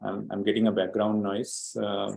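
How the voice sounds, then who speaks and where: A man's voice: fairly low pitch, monotone, moderate pace, gravelly, a little mellow, roomy sound, low-quality recording. A man from Sri Lanka presenting an online training webinar as an agile coach, explaining steadily with many fillers and repeated words.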